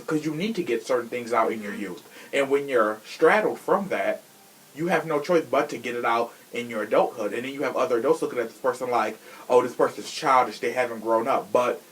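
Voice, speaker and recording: A man's voice: medium pitch, low-quality recording. Sassy man chatting casually on a podcast. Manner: sassy, slangy, opinionated. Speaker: podcast host.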